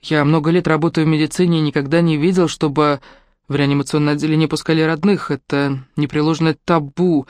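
A narrator voicing a polite adult man who works in medicine and speaks in a measured, courteous way. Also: low voice, steady tone, darkish tone, no room sound, studio quality, lightly acted. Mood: calm.

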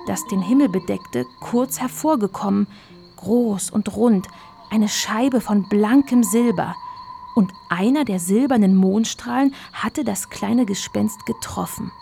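Woman narrator telling a kids story, bit of creak in the voice, music behind her. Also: mid-range voice, a little mellow.

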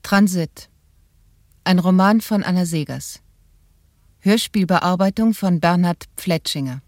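A woman's voice: lowish voice, unhurried, darkish tone. A woman reading a scripted title announcement for a radio drama.

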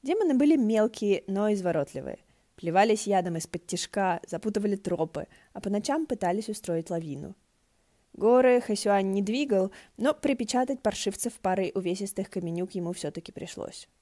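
Женщина-чтец с тёмным, мягким тембром. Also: female voice, mid-range voice, lively intonation, brisk, dark tone. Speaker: audiobook narrator. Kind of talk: scripted fiction narration.

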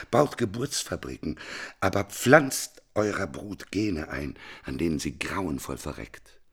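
An actor playing a bitter doomsday prophet in a radio play, declaiming with scorn and sardonic despair, his voice gravelly and husky. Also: very deep voice, full character voice. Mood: wrathful, scornful. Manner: declamatory, sardonic, swinging from hushed to thundering. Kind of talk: literary radio play acting.

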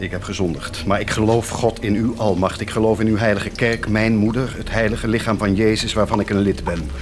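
An actor playing a devout, anguished parish priest in an earnest, pleading radio drama manner. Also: male voice, fairly low pitch, fairly even intonation, brisk, lightly acted. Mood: penitent. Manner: earnest, pleading, fervent declamation. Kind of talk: theatrical radio drama acting.

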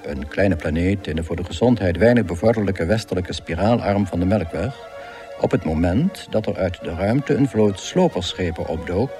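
Deadpan low-voiced narrator over music. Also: male voice. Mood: wry. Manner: deadpan, measured irony. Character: dry, deadpan narrator of a galactic guidebook. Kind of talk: scripted comic radio narration.

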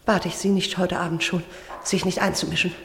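A woman's voice: on the low side, flat delivery, a little fast, creaky, a little hiss or hum. A woman in a radio play acting a stubborn, haughty lady captive with a dramatic, imperious manner. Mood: haughty defiance. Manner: dramatic, imperious, sharp-tongued. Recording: echoey, reverberant cellar-like sound.

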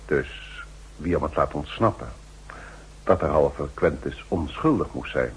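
Low husky excellency, formal n shrewd, old radio drama, lively intonation. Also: male voice, a little hiss or hum, heavily acted, low-quality recording. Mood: shrewd, measured. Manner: formal, measured, authoritative. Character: shrewd, commanding male excellency, a powerful statesman. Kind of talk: 1960s Dutch radio play acting.

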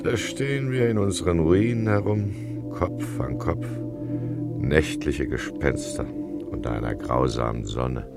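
Very deep, mournful storyteller. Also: male voice, measured pace, creaky, exaggerated voice. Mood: weary, desolate. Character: somber, mournful storyteller among the ruins. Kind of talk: German radio play acting. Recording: music under the voice. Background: music.

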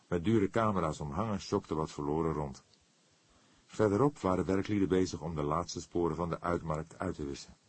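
A man's voice: deep voice, slightly rough, no echo. A man narrating a scripted detective story as an audiobook reader.

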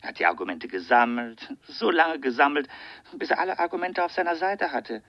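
An actor playing a wary, polite man questioning a caller in a German radio crime drama, formal and deliberate. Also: a little high, expressive, dry recording, dull audio. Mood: animated, persuasive. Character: wary, polite, theorising friend of a dead pianist.

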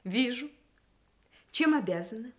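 High-voiced, ironic, teasing woman. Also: animated, a little slow, fairly bright tone. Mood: wry, stung. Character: proud, ironic woman teasing her old love. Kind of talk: radio play acting.

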